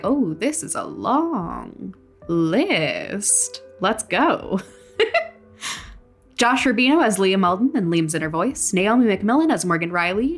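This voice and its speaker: A woman's voice: medium pitch, a bit bright. A woman, the creator of a fiction podcast, reading scripted credits and announcements in an informal way.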